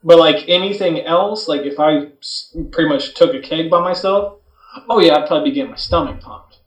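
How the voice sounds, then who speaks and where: A man with a slightly high voice chats on a podcast from a little way off the microphone in an echoey room. He recounts personal anecdotes matter-of-factly, in halting, casual phrases. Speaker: podcast co-host. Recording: roomy, distant from microphone.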